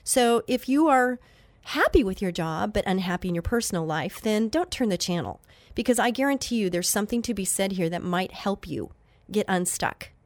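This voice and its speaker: A woman's voice: mid-range voice, lively intonation, moderate pace, dry recording. Nasal-sounding woman hosting faith radio. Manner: chatty, earnest, with many fillers and restarts. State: nasal from allergies. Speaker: radio show host.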